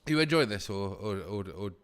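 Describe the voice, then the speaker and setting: A man with a boomy voice, chatting casually and profanely on a live stream, laughing often, close to his desk mic. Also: expressive, fast talker, clean tone. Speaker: livestreamer reacting to videos. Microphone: desk mic close to face.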